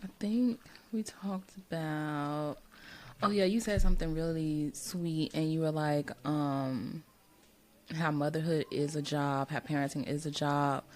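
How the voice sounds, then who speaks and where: Low-voiced woman host, encouraging. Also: steady tone, unhurried. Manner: encouraging, affirming follow-up prompts. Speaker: podcast host. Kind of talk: interviewing, casual chat.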